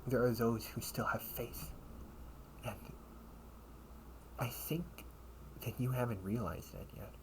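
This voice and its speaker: A man playing a loyal knight comrade, earnest and encouraging, in an intimate dramatic audio roleplay with halting, breathy phrasing. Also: medium pitch, a little hiss or hum, rough recording. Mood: urgent, chiding. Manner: slow, halting delivery with breathy, hushed phrases.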